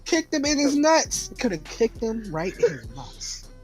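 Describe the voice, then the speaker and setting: A man's voice: extremely high pitch, very animated, a little slow, a little mellow. A young man joking around in casual banter with friends, throwing in crude interjections. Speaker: podcast co-host. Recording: background music under voice. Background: music.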